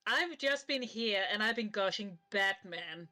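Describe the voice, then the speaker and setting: A woman's voice: mid-range voice, lively intonation, measured pace, bright, forceful tone. A woman from Denmark with a Danish accent, chatting enthusiastically as a stream guest. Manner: enthusiastic and chatty, swears casually. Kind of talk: casual chat.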